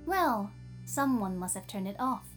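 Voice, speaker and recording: A woman playing a cheeky, breezy, self-assured AI therapist in an audio drama, with a playful, teasing, brisk manner. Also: medium pitch, expressive, a bit bright, faint music behind. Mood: breezy, encouraging.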